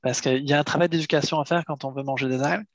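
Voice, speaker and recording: A man's voice: fairly high pitch, steady tone, rapid-fire, no room sound. A middle-aged man from France answering interview questions, explaining at length and often repeating words as he finds them. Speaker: seaweed harvester and entrepreneur.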